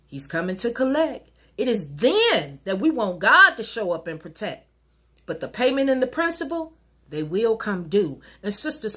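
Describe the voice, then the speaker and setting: A middle-aged woman minister reciting an inspirational rhyming poem in an earnest, rhythmic, exhorting way, with a slightly husky voice. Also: a little high, fairly bright tone. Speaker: minister and poet. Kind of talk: recited rhyming spoken-word sermon poem. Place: wood-paneled living room at home.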